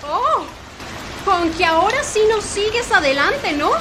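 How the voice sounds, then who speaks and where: A woman's voice: very high voice, animated, noisy background. A woman playing a big, fearless old charwoman who taunts scornfully in a radio drama. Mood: mocking, scornful. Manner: taunting, drawn-out exclamations.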